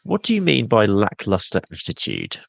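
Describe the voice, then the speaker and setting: Deep, gravelly male podcast host. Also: wildly swooping pitch, moderate pace, no room sound. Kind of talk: interview questions.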